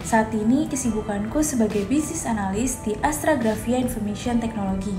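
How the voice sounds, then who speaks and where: A woman's voice: a little high, fairly quick. A 21-year-old woman giving a personal testimonial, recorded with room echo. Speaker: business analyst. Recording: echoey room with music.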